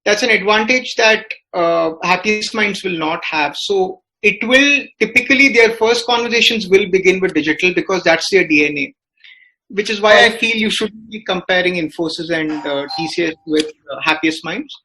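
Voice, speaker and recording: A man with an Indian accent giving analytical podcast commentary as a business reporter, in a hesitant, halting way with many filler words. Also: high-pitched, sharp tone, rough recording.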